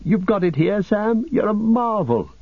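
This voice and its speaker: A man's voice: on the low side, animated, unhurried, husky, no echo, narrow, tinny audio. A man voicing a wounded, despairing young hobbit in an audiobook, anguished and breathless, veering from weary to frantic. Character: wounded, despairing, feverish young male ring-bearer hobbit.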